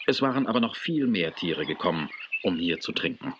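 An actor as the calm narrator of a German children's adventure audio drama, reading scripted narration. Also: male voice, deep voice, a little fast, lo-fi sound. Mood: calm, descriptive. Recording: noisy 1980s cassette audio.

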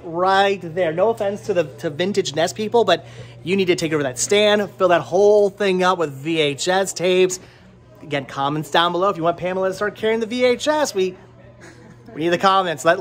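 A man's voice: high voice, a little fast, fairly bright tone. A man in his thirties hosting a casual shopping vlog with a jokey, teasing manner, talking into a handheld camera in a small shop. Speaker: vlog host, movie collector. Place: indoors, small cluttered movie and game shop. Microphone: handheld camera at arm's length.

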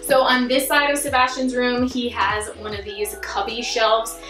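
A woman's voice: a little high, little pitch movement, moderate pace, over music. A young woman giving a casual, upbeat house tour vlog, chatty with lots of fillers. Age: young adult. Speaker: home vlogger. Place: small rooms of a house, indoors. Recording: echoey small rooms.

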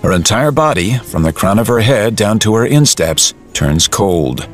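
A man's voice: fairly low pitch, expressive, moderate pace. A man reading scripted dramatic narration for an audio drama. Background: music.

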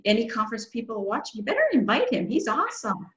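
A middle-aged woman hosts an interview in a highish voice, effusive and encouraging toward her guest. The audio is echoey and slightly dull, like a video call from a small room. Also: sing-song, quick pace. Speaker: host. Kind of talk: conversational interview. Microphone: video call, close to webcam.

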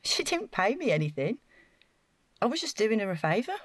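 A woman's voice: medium pitch, big pitch swings, moderate pace, dry recording. An actress playing an earnest, naive council housing officer in an audio drama, chatty and flustered as she over-explains. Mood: eager, naive.

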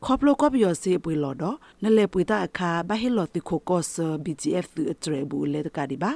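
Low-voiced woman reading radio news. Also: very animated, a little fast, darkish tone, no echo.

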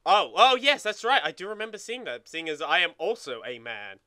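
A young man banters casually as a let's play co-host, playful and crude. His voice is slightly high and very bright, with lively swings in pitch. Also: brisk, no room sound, clean studio sound.

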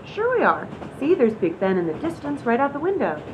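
A woman's voice: very animated, a little fast. A woman acting a scatterbrained, cheeky train passenger who dodges questions in a radio comedy. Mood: evasive, cheeky. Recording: muffled recording over loud train rumble.

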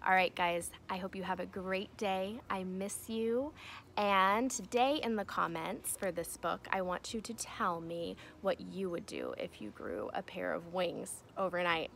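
A woman's voice: lowish voice, measured pace, gravelly. Young woman reading a storybook aloud, outdoor noise. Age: young adult. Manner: expressive storytelling delivery with emphatic swells. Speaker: teacher reading aloud to pupils. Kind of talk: children's storybook read-aloud. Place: outdoors in a fenced backyard. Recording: noticeable outdoor ambient noise.

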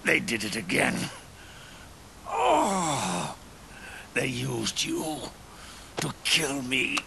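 An actor playing a weary, regretful old soldier near death in a video game cutscene, with a gravelly, breathy, halting and pained delivery. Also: male voice, medium pitch, huge pitch swings, background noise, heavily acted. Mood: pained, bitter. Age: older. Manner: halting, solemn, labored delivery. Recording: orchestral music behind the voice.

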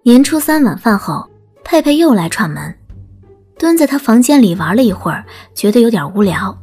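A woman narrates an audiobook in a fairly high voice. Her intonation swings widely and expressively. Soft music plays under her reading. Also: big pitch swings, normal speed, slightly rough. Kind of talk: scripted story narration, audiobook. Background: background music.

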